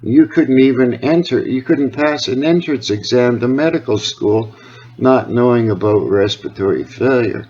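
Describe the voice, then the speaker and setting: A man's voice: mid-range voice, moderate pace, darkish tone, a little hiss or hum. A man with a Canadian accent talking earnestly and insistently on a phone call, rambling from point to point. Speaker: caller, health awareness advocate. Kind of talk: phone conversation. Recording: dull lo-fi phone call recording.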